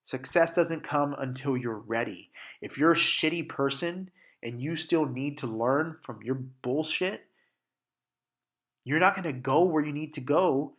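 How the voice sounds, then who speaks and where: A man's voice: a little high, a little slow, a bit bright. Young male podcast host, stuffy nose from allergies, candid and swears a lot, restarts sentences often. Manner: candid and profane, with frequent restarts and fillers. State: congested and sniffling from allergies. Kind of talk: casual solo podcast monologue.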